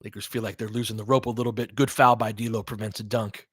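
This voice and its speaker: A man's voice: on the low side, level tone, fast, husky, dry recording, studio quality. A man giving casual, conversational basketball play-by-play and analysis, with short punchy calls and slangy language.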